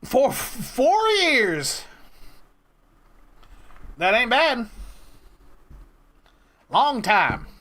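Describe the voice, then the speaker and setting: Animated, bright-voiced man in his thirties. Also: very high voice, drawn-out words, long pauses. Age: 30s. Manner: probing, playful, teasing. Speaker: prank caller and interviewer. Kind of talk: casual teasing phone chat.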